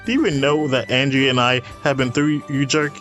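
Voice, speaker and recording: An excitable teenage schoolboy from a visual novel , acted out by a man, pitched higher and prone to stammering. He is dramatic and quick to take offense, over background music. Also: natural voice. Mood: indignant. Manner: exclamatory, stammering. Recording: music playing behind the voice.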